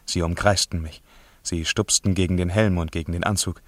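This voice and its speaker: Low, close, dry storytelling diver male actor. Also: fairly even intonation, a little fast, dry recording, close to an everyday voice. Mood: tense, wondering. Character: diver recounting an eerie underwater descent. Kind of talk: 1950s radio play narration, literary.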